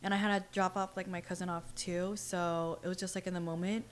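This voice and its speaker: A woman's voice: fairly low pitch, little pitch movement, a little fast, slight background noise. A young adult woman chatting casually on a podcast, telling personal stories in an informal, slangy and emphatic way. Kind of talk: casual chat, personal storytelling.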